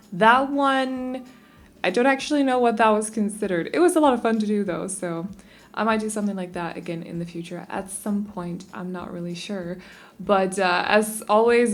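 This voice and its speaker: A young Danish woman chatting casually and rambling a little as she talks over a game speed build, often restarting her sentences. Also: medium pitch. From Denmark. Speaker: YouTube creator.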